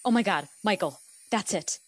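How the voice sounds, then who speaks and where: An actress playing an anxious, proud young wife in a radio play, talking casually and dramatically. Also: female voice, mid-range voice, lively intonation, normal speed, husky, no echo. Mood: earnest. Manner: casual modern speech, dramatic. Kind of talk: naturalistic radio play acting.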